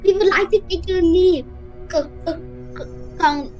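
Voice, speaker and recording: A woman's voice: very high voice, unhurried, darkish tone, highs cut off, faint music behind. A woman playing a frightened, polite young girl in a radio play, with a hesitant delivery. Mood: nervous politeness. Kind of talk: amateur radio play acting.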